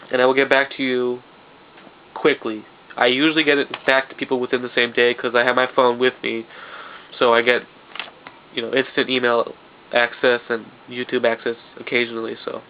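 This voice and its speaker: A young man with a stuffed-up nose, talking loosely in a vlog and often restarting his sentences. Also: medium pitch. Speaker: vlogger. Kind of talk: casual vlog monologue. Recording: dull lo-fi home video with faint hiss.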